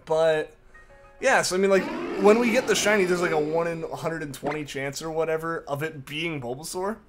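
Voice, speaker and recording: A young man with a slightly higher voice rambles casually to his live-stream chat, heavy with fillers and repeats. He has a slight lingering cough, and music plays clearly underneath him. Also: brisk. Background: music playing underneath.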